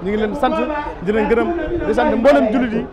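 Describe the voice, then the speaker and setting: A man's voice: very high-pitched, fast. A man speaking as an official representative, in a formal, declamatory way. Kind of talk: formal address. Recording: loud crowd, outdoor rally.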